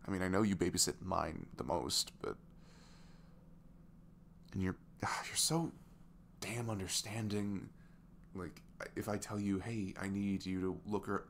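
A man playing a sweet, earnest single dad in an intimate ASMR roleplay, soft and hesitant, often dropping to a whisper. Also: deep voice, vocal fry, slight background noise. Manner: hesitant, gentle, murmured.

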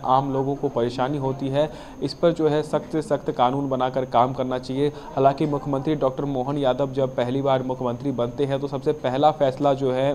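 A man's voice: mid-range voice, warm tone, a little hiss or hum. A young man giving a live news report, leaning on a repeated filler phrase. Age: young adult. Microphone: handheld microphone.

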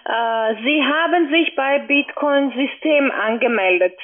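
Female phone sales agent, fairly high pitch, insistent and formal, thin telephone sound. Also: slow. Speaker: call center agent. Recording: tinny band-limited phone line.

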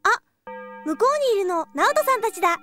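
A cute teenage little sister in an audio drama. Her voice is extremely high and animated, childlike and sulky, with music behind it. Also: a little fast, full character voice. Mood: self-conscious. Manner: girlish, childlike. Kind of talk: Japanese audio drama acting.

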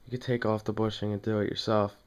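A man with a fairly low, clean and smooth voice talks through a hands-on demonstration. His intonation stays very flat. He speaks plainly and casually, halting now and then as he works in a small room at home. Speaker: demonstrator in a home how-to video. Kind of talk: casual hands-on tutorial talk.

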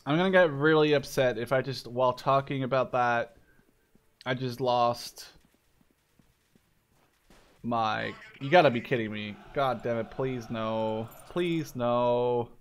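A man's voice: mid-range voice, takes it slowly, clear, resonant tone. A man in his twenties giving casual, rambling gameplay commentary, often breaking off mid-sentence and swearing. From Canada or the Netherlands. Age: 20s. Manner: rambling, self-interrupting, sweary. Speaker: gaming video creator.

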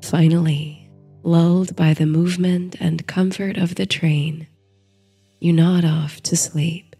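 Female sleep-story narrator: very slow, even and soft-edged, with mellow low-key tone over music. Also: breathy edge. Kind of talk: slow, soothing bedtime story and guided meditation narration.

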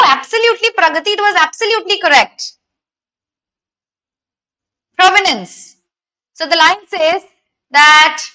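Casting: young woman, Indian accent, online exam tutor, high-pitched and crisp-edged bright voice, instructional, mixes Hindi into English. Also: poor audio. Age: young adult. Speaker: exam prep teacher. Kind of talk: online lesson, talking to live students.

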